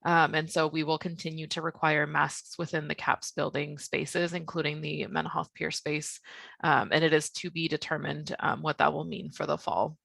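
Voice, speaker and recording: A woman gives an informal, candid explanation as a coordinator. Her voice is bright and forward with marked creak, and it comes through very dry and close, with a measured delivery. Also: low-pitched, fairly even intonation, no echo.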